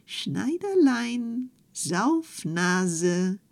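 A woman doing a high, mocking goblin voice. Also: big pitch swings, very slow, creaky, a little mellow, heavily acted. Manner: mocking, singsong. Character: teasing little male goblin in green stockings.

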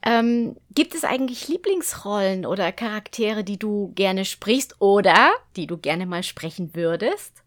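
A woman's voice: mid-range voice, animated, measured pace, hardly any pauses. A woman interviewing as a host in a casual, informal way, with hesitant phrasing.

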